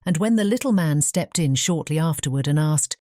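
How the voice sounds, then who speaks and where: A female storybook narrator with a British English accent. Her voice is low and mellow, and the recording is close and very dry as she reads the scripted tale. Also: expressive, brisk, dry recording.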